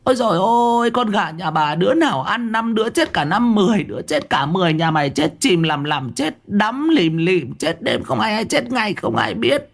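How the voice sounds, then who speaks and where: Older village wife, shrill, cursing tirade, high, swooping. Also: male voice, expressive, moderate pace, gravelly, few pauses, exaggerated voice. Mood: furious ranting. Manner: long rhythmic cursing tirade, shrieking.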